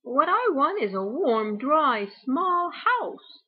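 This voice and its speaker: A woman's voice: high-pitched, big pitch swings, takes it slowly, phone-quality sound, pitched above the performer's own voice, heavily acted. A woman voicing a friendly, wishful dog in a children's storybook, emphatic and a little plaintive. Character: friendly dog longing for a cosy home.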